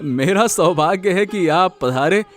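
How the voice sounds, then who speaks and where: Guy doing a cartoon village son, high lively voice, gets stammery and excited. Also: a little slow, soft background music. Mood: flustered excited. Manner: excitable, stammers when flustered. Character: eager, kind young village son. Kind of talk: Hindi cartoon dubbing.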